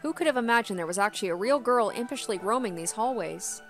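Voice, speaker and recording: A woman's voice: a little high, animated, quick pace, music in the background. A woman reading a first-person story aloud as a dramatic narration. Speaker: gaming video host.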